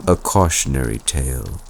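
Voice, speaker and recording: Man acting a deep-voiced student telling spooky tales. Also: creaky, warm tone, a little hiss or hum, full character voice. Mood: dark, dramatic. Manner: slow, dramatic, suspenseful storytelling. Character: young male student Luke telling a spooky campfire vampire tale. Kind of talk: scripted horror storytelling in an audio roleplay.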